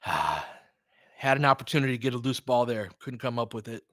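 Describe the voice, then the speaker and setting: A man's voice: medium pitch, lively intonation, moderate pace, fairly bright tone, no echo, clean studio sound. A middle-aged man doing casual live basketball play-by-play, conversational and opinionated, chatting to listeners and reacting aloud to the action. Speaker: online basketball play-by-play host. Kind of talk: casual live play-by-play commentary mixed with chat.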